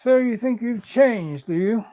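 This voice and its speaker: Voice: medium pitch, lively intonation, unhurried, mellow, dull audio, lightly acted. A performer voicing a testy, haughty caterpillar in a storybook live read, terse and imperious. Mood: condescending. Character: curt, testy, haughty caterpillar smoking a hookah. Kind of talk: live reading of an abridged classic story.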